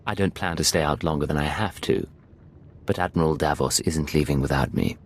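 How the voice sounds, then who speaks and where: Deep-voiced, defiant secret agent. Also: male voice, fairly quick, a little hiss or hum. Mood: cool authority. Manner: terse, confrontational. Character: defiant, cool, businesslike male intelligence agent. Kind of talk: dramatic video game voice acting.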